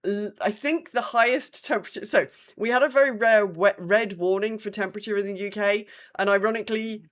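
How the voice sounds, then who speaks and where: A British woman co-hosting a podcast with casual, wry, rambling chat. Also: medium pitch. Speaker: podcast co-host.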